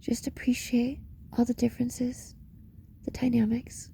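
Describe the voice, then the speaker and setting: A woman leading a guided meditation in a reflective monologue, speaking in short, paused phrases with a breathy voice that often slips into a whisper. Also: on the high side, completely flat delivery, warm tone. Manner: slow, pausing between short phrases.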